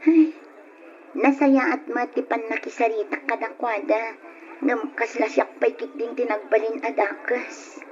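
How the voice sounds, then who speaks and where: A woman's voice: fairly high pitch, steady tone, a little slow, darkish tone, close to an everyday voice. A woman acting in an old radio drama as a troubled, plaintive married woman confiding hesitantly in a relative. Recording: muffled old radio recording, faint noise.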